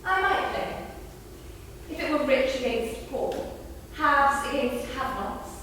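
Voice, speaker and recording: An actress playing a guarded, sharp-witted woman writer, with cool, dry retorts on stage. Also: sits high, expressive, measured pace, a little hiss or hum. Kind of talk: stage play performance. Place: theatre stage. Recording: echoey theatre stage from far off.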